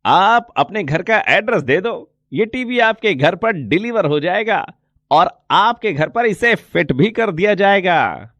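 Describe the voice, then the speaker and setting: A man's voice: high voice, lively intonation, a little slow, a bit bright, dry recording, muffled recording. A man voicing a polite, eager shop salesman in a moral story, explaining things courteously. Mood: polite, persuasive. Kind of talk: Hindi moral story dialogue.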